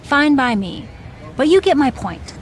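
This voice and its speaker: A woman's voice: high voice, big pitch swings, unhurried, a little hiss or hum. A woman playing a bossy, seasoned wrestling legend in video game dialogue, firm, matter-of-fact and wry. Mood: frank, firm.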